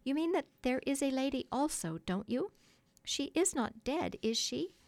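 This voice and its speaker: An eager, naive little storybook boy, acted by a woman, bursting in with childlike excitement. The pitch is raised and jumps about in a lively way, in a very dry recording. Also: normal speed, no echo. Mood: eager, curious.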